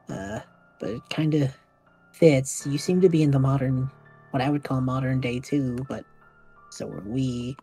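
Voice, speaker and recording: A woman's voice: sits low, dark tone, breathy edge. A woman playing an earnest, awkward young male magic student, speaking hesitantly in an improvised audio drama. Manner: hesitant, halting. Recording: faint music behind the voice.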